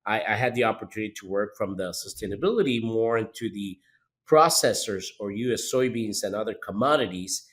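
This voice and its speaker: A man's voice: on the low side, fairly quick. A man from hosting a podcast interview, often repeating words and restarting sentences. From Costa Rica. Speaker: podcast host.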